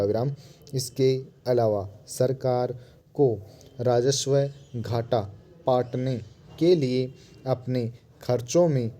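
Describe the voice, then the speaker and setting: A man reading formal prose as dictation in a measured, deliberate way, separating short word groups with pauses and speaking the punctuation. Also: mid-range voice, warm tone. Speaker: dictation reader. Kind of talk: slow dictation of a news editorial, punctuation spoken aloud.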